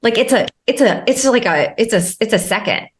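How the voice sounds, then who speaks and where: A woman's voice: on the high side, animated, fairly quick. A woman who works as a life coach, a guest on a podcast, explaining at length with lots of fillers, restarts and repeated words. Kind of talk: podcast interview.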